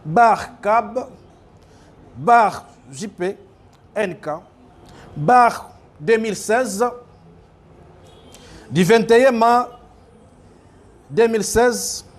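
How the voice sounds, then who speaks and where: A man with a Congolese French accent, formally reading out a declaration as a spokesman in a halting, deliberate way. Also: sits high. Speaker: spokesman reading a youth group's statement.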